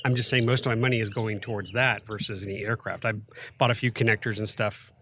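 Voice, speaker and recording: A man co-hosting a podcast, rambling in casual chat with a loud, talkative manner. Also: fairly low pitch, fairly even intonation, fast, slight background noise. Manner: chatty, rambling with restarts, self-described loud voice. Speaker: podcast co-host. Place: outdoor flying event.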